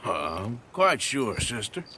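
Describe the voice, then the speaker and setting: An actor playing a weary, gruff, laconic outlaw with an American accent in a video game cutscene. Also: male voice, medium pitch, very animated, unhurried, sharp tone, a little hiss or hum. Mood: subdued, weary.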